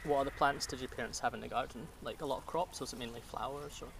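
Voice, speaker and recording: A man's voice: medium pitch, racing, vocal fry, distant mic, a little hiss or hum. A Scottish man in his thirties chatting casually while gardening, laughing often and trailing off with fillers. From Scotland. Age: 30s. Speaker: podcast guest.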